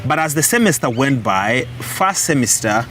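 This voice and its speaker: A man in his thirties from Kenya, a guest telling his story earnestly with many pauses and restarts. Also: mid-range voice, sing-song, background noise. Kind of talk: storytelling in an interview.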